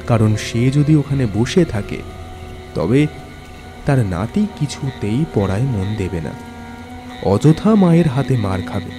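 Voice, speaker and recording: Male ghost story narrator over music. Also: medium pitch. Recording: music bed under narration.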